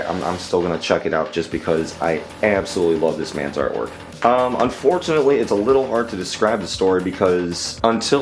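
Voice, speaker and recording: A man giving a casual review as a commentator, halting and repeating himself for emphasis. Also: on the low side. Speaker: comic book reviewer.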